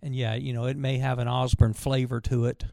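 A man's voice: medium pitch, little pitch movement, a little fast, gravelly, dark tone, no echo, studio quality. A man, a musician telling stories in a folksy, rambling way with many restarts. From Virginia, USA. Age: 60s. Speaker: bluegrass musician guest. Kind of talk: casual storytelling interview.

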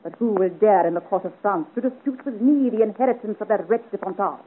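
A woman's voice: mid-range voice, fast, husky, close to an everyday voice. Woman playing a haughty marchioness, muffled old radio. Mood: proud. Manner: imperious, grand. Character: haughty ambitious 37-year-old marchioness, royal favourite of France. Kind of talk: 1950s radio serial acting.

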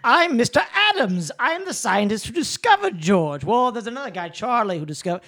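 A woman's voice: a little high, sing-song, brisk, a bit bright, full character voice. A woman playing a self-important, protective male scientist in an improvised comedy radio play.

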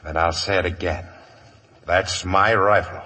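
An actor playing a gruff, suspicious outlaw gang boss with a curt, commanding manner in a 1950s Western radio drama. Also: male voice, low-pitched, fairly even intonation, measured pace, sharp tone, exaggerated voice. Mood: cold, demanding. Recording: vintage 1950s radio broadcast.

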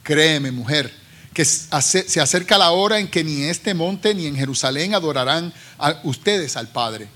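A man's voice: on the high side, lively intonation, normal speed, bright, forceful tone. A middle-aged man from Puerto Rico with a Puerto Rican accent, preaching a sermon and reading scripture with joking asides and restarts. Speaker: preacher from a seminary team.

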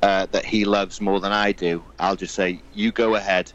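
A man's voice: low voice, flat delivery, moderate pace, lo-fi sound. A man from Wales hosting a podcast, chatting casually and enthusiastically with a guest. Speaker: podcast host. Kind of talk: casual chat.

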